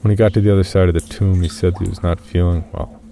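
A man with a gravelly voice reading a first-person horror story in a subdued, measured way. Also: sits low, level tone, dark tone, slight background noise.

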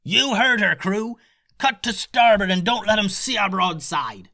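A man voicing a nervous male ship captain who yells in a flustered way in a storybook reading. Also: medium pitch, sing-song, normal speed, no room sound, clean studio sound. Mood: yelling. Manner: loud, flustered yelling.

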